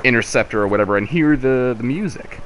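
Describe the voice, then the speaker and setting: A man co-hosting a casual video game chat, explaining things in a halting, rambling, self-correcting way. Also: mid-range voice, a little hiss or hum. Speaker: podcast co-host.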